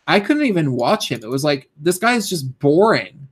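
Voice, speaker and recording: Hoarse young man ranting on a stream. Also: a little high, big pitch swings, moderate pace. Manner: rambling, full of fillers and restarts. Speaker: YouTuber live-streaming. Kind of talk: casual live-stream rant.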